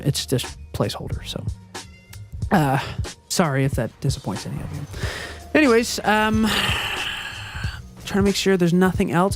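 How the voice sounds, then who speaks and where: A man with a high, boyish-sounding voice records a casual voiceover update. He wanders from point to point, pausing on ums and correcting himself mid-sentence, with soft music playing faintly behind him. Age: adult. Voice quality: high-pitched, youthful-sounding voice. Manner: rambling, hesitant, many ums and self-corrections. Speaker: channel owner and video editor. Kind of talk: casual channel update monologue. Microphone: voiceover recorded before the gameplay footage.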